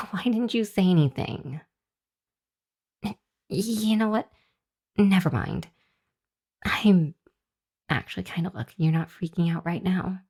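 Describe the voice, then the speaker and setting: A woman's voice: lowish voice, a little slow, long pauses, darkish tone, husky. A woman playing a nervous, apologetic young hellhound girl in an ASMR roleplay, speaking to the listener with a hesitant, stammering delivery. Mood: sheepish, puzzled.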